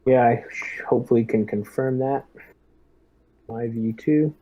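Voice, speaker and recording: A man playing a tabletop roleplaying game, talking through tactics casually in a measured, halting way. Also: mid-range voice, mellow. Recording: dull lo-fi voice-chat audio.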